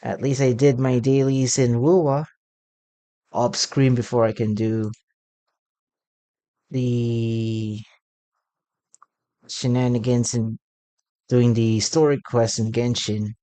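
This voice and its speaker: A gaming content creator giving casual let's play commentary in a halting, rambling way. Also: mid-range voice, level tone, warm tone.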